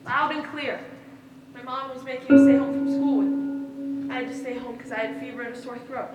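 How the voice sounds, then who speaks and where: An actress plays a young girl recounting a troubling day on stage. Her voice is high and childlike, recorded from a distance in a very echoey space with loud music behind. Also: flat delivery, a little fast, dull audio, close to an everyday voice. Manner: plain, childlike storytelling. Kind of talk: theatrical stage monologue. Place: stage in a hall, one-act play performance.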